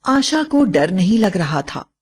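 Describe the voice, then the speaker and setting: A woman's voice: medium pitch, big pitch swings, slow pace, darkish tone, breathy edge. A woman narrator reading a scripted bedtime story for children.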